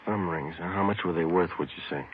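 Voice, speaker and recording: A man's voice: low-pitched, a little fast, slight background noise, lightly acted. A man with an American accent playing a clipped, matter-of-fact yet courteous police sergeant in a 1950s radio detective drama. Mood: courteous, probing. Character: brisk, businesslike male police sergeant narrating his case. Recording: tinny old radio with loud music.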